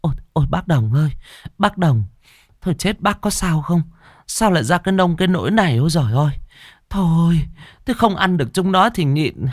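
Man doing a high fussy village woman, scolding fast, dry sound. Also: expressive, no room sound. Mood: fretful. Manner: high, quick scolding chatter. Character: fussing village woman, flustered and chiding.

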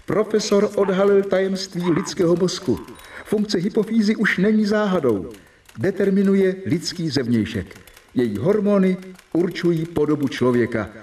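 An earnest young doctor narrating. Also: male voice, sits high, expressive, a little mellow. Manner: measured, earnest diary narration. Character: young doctor reading his research diary. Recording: distant mic with faint hiss.

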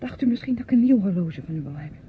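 An actress playing a guarded, weary young niece in a radio play, speaking with restraint. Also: female voice, mid-range voice, expressive, rapid-fire, close to an everyday voice. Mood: guarded, terse. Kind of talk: radio drama acting. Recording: dull, noisy old radio play recording.

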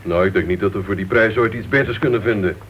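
An actor playing a hearty, outspoken crime-writer club member in a radio play. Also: male voice, fairly low pitch, fast, close to an everyday voice. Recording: dull, faintly noisy vintage radio recording.

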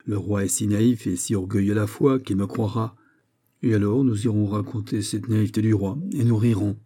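A man's voice: fairly low pitch, steady tone, moderate pace, warm tone, close to an everyday voice. A man voicing a proud, wounded king in an audiobook, with a sarcastic, imperious and emphatic delivery. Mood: bitter mockery.

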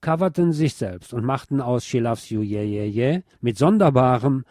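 A man reading a scripted cultural essay aloud in a measured, formal, literate manner. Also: medium pitch, animated, darkish tone, no room sound, studio quality. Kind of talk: scripted spoken essay on pop history, read aloud.

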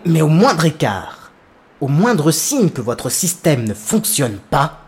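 A man's voice: a little high, sing-song, slow. Weary, desperate planetary leader, acted by a man. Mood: grudging, stern. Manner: exasperated, sighing, swearing. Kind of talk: French sci-fi audio drama acting.

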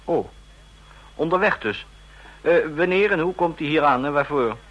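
An actor playing an ambitious, scheming deputy intelligence officer in a radio play, talking in brisk, fragmented phrases. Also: male voice, a little high, animated, a bit bright, dull audio. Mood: businesslike. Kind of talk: radio drama dialogue.

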